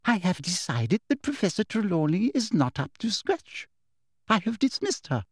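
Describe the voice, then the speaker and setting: A man voicing a sweetly menacing middle-aged woman official who speaks softly with a shrill edge. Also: sing-song, normal speed, husky, dry recording, clean studio sound, exaggerated voice. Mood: icily polite. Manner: soft, deadly, with a shrill edge.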